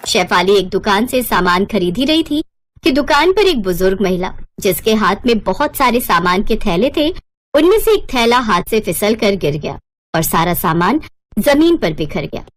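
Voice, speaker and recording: A woman's voice: medium pitch, sing-song, moderate pace. A woman narrating a scripted moral bedtime story as a storyteller.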